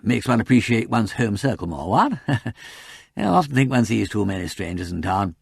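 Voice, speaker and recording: A man voicing a breezy, polite aristocratic gentleman in a story, rambling on in an upper-class English accent. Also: fairly low pitch, sing-song, moderate pace, vocal fry, husky, no echo, clean studio sound, heavily acted. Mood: affable, nervous.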